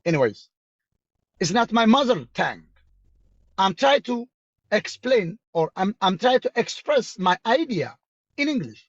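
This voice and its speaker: A man with an Ethiopian accent, a native Amharic speaker, hosting a stream and ranting about politics in halting, repetitive, strong-worded English. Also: high voice, sing-song, no room sound, highs cut off. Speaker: reaction stream host. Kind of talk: heated political rant.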